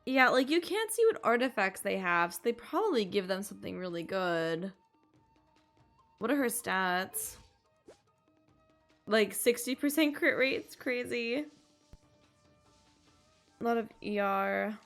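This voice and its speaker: Female streamer, casual gameplay chat, upbeat, fry in the voice, soft game music underneath. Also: mid-range voice, expressive, unhurried, long pauses. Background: faint game music.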